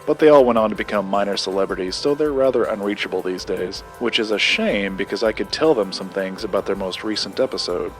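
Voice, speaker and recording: An actor playing a formal, guarded paranormal collector and researcher, with precise, measured wording in an audio drama. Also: male voice, lowish voice, faint music behind, lightly acted. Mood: measured, reserved. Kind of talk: scripted audio drama dialogue.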